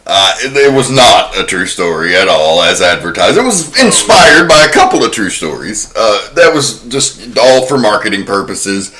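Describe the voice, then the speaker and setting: A man's voice: lowish voice, big pitch swings, moderate pace, few pauses, pushed and bright. A man hosting a podcast, casually explaining film history in an informal, sometimes profane way. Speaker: podcast host.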